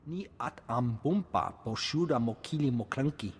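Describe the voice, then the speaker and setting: A man's voice: mid-range voice, expressive, normal speed, slight background noise, natural voice. A man voicing a scheming, glib alien in a video game, speaking in invented alien syllables. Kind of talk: video game creature acting. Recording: long reverb with music behind.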